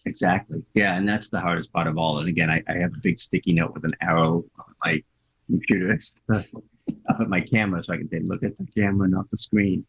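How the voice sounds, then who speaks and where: Gravelly low-voiced Boston man. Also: fairly even intonation, brisk, muffled recording. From Boston area. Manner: chatty, laughs often, self-deprecating. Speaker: guest. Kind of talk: casual interview chat.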